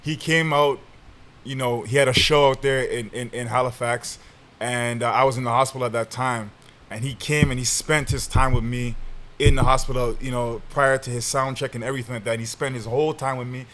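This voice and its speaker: A man's voice: medium pitch, fairly even intonation, fairly quick, fairly bright tone. A man co-hosting a podcast, asking questions in casual, hesitant chat with slang. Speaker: podcast co-host.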